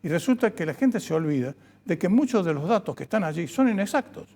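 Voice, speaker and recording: A man hosting an interview, his voice pitched high and gravelly with fry. He speaks in a reflective, conversational manner and leans on sayings to make his point. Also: sing-song, fast talker, darkish tone. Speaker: host. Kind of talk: radio interview.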